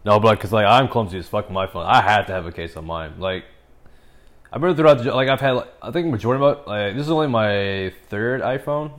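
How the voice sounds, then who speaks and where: A man's voice: lowish voice, animated, fast, fairly bright tone. A man in his twenties from the United States, chatting casually in a laid-back, slangy way. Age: 20s. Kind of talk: casual chat.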